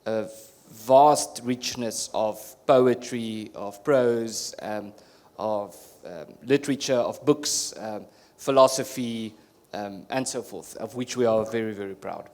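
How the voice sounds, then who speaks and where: A man's voice: fairly low pitch, slow pace, darkish tone. A man from South Africa with an Afrikaans accent, a native Afrikaans speaker, answering as a guest at a panel discussion in an earnest, explanatory way, often restarting his sentences. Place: conference hall.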